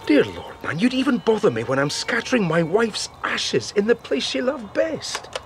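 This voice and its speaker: High-pitched, exasperated widowed doctor. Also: male voice, sing-song, normal speed, soft background music. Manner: exasperated, emotional. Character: indignant, grieving Scottish male doctor, a widower. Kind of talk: radio drama acting.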